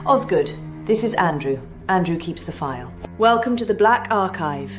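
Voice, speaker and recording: An actress playing a brisk, wry woman in charge of a secret archive, clipped and dry, in an audio drama. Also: fairly low pitch, animated, lightly acted. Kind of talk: scripted audio drama acting. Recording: music under the voice.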